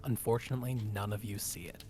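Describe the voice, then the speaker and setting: A man narrating and refereeing a tabletop fantasy game as game master, describing things in an improvised, halting way. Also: on the low side, steady tone, mellow.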